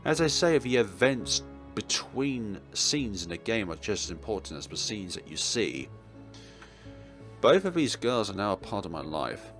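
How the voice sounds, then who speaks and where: Guy doing a let's play read-aloud, low voice, really animated and jokey, bit of music behind. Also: a little slow. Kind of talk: dramatic read-aloud narration with casual asides.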